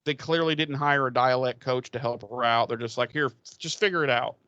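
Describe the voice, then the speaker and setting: A man of medium pitch offers casual commentary with strong opinions. His delivery is blunt and emphatic, peppered with profanity. The recording is dry, with little room sound. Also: fairly even intonation, brisk, bright, forceful tone, no room sound, dull audio. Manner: blunt, emphatic, foul-mouthed. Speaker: podcast co-host.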